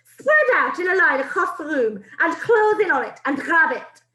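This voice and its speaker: A woman's voice: very high-pitched, big pitch swings, moderate pace, pushed and bright, reverberant, voice pushed up, exaggerated voice. A woman doing a screeching tyrannical witch queen. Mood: commanding. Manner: shrieking, commanding.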